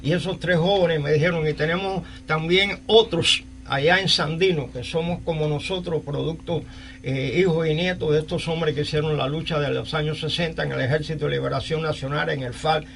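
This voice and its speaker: An older man with a Cuban accent hosting a live radio talk show, speaking haltingly and repeating phrases. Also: on the high side, fairly even intonation, gravelly, a bit bright. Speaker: radio host. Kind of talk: live call-in talk, recounting and interviewing.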